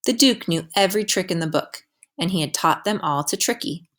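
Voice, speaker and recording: A woman reading a children's picture book aloud as a teacher would, with expressive storytelling delivery. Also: lowish voice, brisk.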